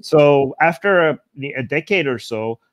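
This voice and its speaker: Male host, chatty, halting restarts, mid-pitched, dry close sound. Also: lively intonation, dry recording. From Iran. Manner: chatty, restarts sentences often. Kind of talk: casual video-call interview.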